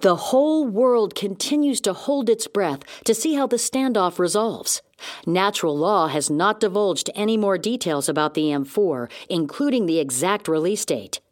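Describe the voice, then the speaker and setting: A woman's voice: mid-range voice, a little mellow, lightly acted. A woman playing a composed, serious news anchor reading a scripted report in an audio drama.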